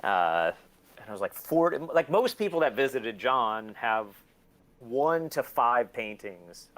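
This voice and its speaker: A man's voice: medium pitch, big pitch swings, slow, a bit bright, poor audio. A man chatting casually as an interview guest, candid, profane and self-deprecating, telling rambling stories and acting out quotes. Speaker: guest, collector.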